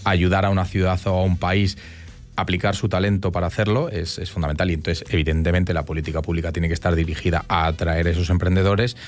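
Fast-talking low-voiced man from Spain. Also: flat delivery, faint music behind. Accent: Castilian Spanish. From León, northern Spain. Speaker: interview guest, city councillor. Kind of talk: conversational interview answers.

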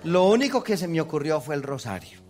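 A Colombian priest with a Colombian Spanish accent, preaching a testimony to a congregation, telling the story with acted-out quotes and laughing at himself. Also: male voice, a little high, big pitch swings, brisk, a little mellow.

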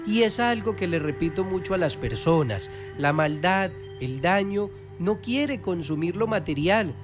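Male radio healer-host, notably high voice, preachy promotional delivery, music underneath. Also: expressive. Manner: preaching, slow repeated recital of phone numbers. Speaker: spiritual healer hosting a radio program.

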